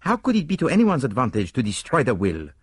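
An excitable detective. His voice leaps well above the reader's own and swoops theatrically. He is self-assured and fussy, full of formal, exclamatory turns in audiobook style. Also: medium pitch, fast, slightly rough, dry recording, clean studio sound, voice pushed up. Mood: indignant, puzzled.

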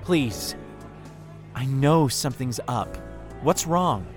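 A man playing an earnest, soft-hearted young man in love, in an audio drama. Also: mid-range voice, animated, takes it slowly, music in the background. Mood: concerned. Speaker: voice actor.

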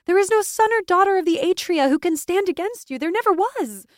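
A woman's voice: medium pitch, normal speed, no room sound, studio quality, natural voice. A woman voicing a loyal, stubborn young woman in a fantasy audiobook, insistent and impassioned. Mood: fierce, rousing. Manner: insistent, impassioned, gaining momentum. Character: fierce, stubborn, loyal young woman, pleading best friend.

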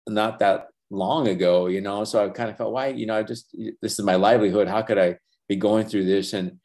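An older man whose first language is Spanish, talking earnestly in a casual interview, halting with many restarts and fillers. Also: on the low side, steady tone, a little mellow. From Los Angeles. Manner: earnest, reflective, faith-centered. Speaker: animation professor and former feature animator, guest.

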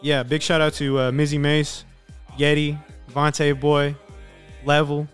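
A man's voice: fairly high pitch, completely flat delivery, measured pace, a bit bright. A young man from Sacramento, a rapper, chatting casually and leaning on "you know" all the time. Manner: laid-back, says "you know" constantly. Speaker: rapper and podcast guest. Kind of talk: casual chat. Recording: music playing in the background.

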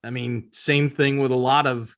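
A man's voice: mid-range voice, flat delivery, moderate pace, a bit bright. A man co-hosting a podcast, talking casually and offering his opinion. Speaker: podcast co-host.

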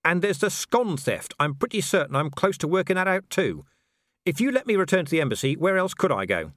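A man voicing a gruff, exasperated middle-aged commander who talks bluntly and indignantly in an audiobook. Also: on the high side, sing-song, a little fast, fairly bright tone, no echo, studio quality, voice pushed up. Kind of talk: audiobook character voice.

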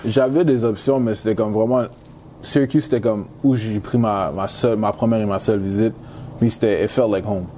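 Mellow young Quebec man, English-speaking, noisy recording. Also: lowish voice, fairly even intonation, fairly quick. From Montreal, Quebec, Canada. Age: young adult. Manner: peppers French with English slang and phrases. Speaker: university football player, interview guest. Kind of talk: casual interview chat.

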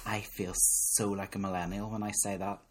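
A man's voice: fairly low pitch, flat delivery, moderate pace, a little hiss or hum. A man in his thirties with a Northern Irish accent, chatting casually as a podcast co-host with jokey, self-mocking banter. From Northern Ireland. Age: 30s. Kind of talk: casual chat.

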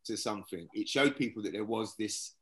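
A man, a guest in a casual video-call chat, telling stories in an animated way and often restarting his sentences. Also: lowish voice, a little fast. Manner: animated storyteller, repeats himself.

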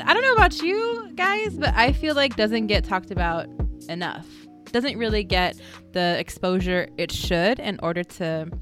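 Low-voiced woman hosting a chatty podcast. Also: fairly bright tone. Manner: chatty, casual, uses fillers. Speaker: podcast host.

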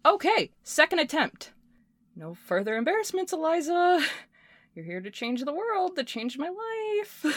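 A woman playing a scrappy, intense inventor recording a personal log in an audio drama, talking in a halting, volatile way. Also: mid-range voice, no echo. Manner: swearing, halting, swinging from muttering to fervent.

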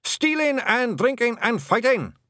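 A man voicing rowdy tiny Scottish warrior men in an audiobook, chanting in shouts. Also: very high-pitched, sing-song, unhurried, dry recording, studio quality, pitched above the performer's own voice, full character voice. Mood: gleeful, rowdy.

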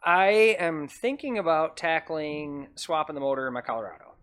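A man's voice: fairly high pitch, lively intonation, moderate pace, a bit bright, clear, resonant tone. A man co-hosting a podcast in casual chat, teasing his co-host with short dry quips.

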